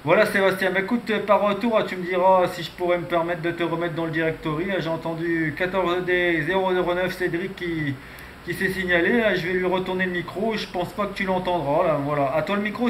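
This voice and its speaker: A man's voice: sits high, fairly bright tone. A man making casual radio contact, chatty and hesitant, as an amateur operator handing the microphone back and forth. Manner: hesitant, chatty, tags phrases with "hein". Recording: echoey room, some background noise.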